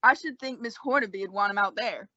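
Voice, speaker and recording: A woman's voice: mid-range voice, fast, bright, forceful tone. A woman playing a frank, concerned frontier town doctor in an old-time radio western, with folksy, advising talk. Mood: concerned, questioning. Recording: dull lo-fi radio drama recording.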